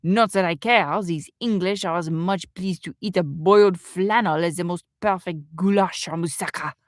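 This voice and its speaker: A woman's voice: fairly low pitch, normal speed, no room sound, clean studio sound, natural voice. Woman acting impatient, barking French chef. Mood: impatient shouting. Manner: barking, brusque. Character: impatient, shouting French male chef, scornful. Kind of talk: audiobook character voice.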